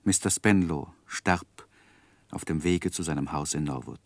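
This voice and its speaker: An actor in a German radio play playing a reflective gentleman who narrates his own life in measured, literary phrases. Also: extremely low pitch, dry recording, exaggerated voice. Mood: melancholy, reflective. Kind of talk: scripted first-person narration in 1960s German radio drama.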